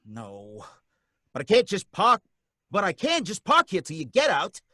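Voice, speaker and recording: A rattled cab driver in an old-time radio play. He talks in folksy slang and pleads excitably. His voice is fairly high and bright, and it swings widely. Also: a little slow, dry recording, clean studio sound, full character voice. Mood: pleading. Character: jittery working-class male cab driver.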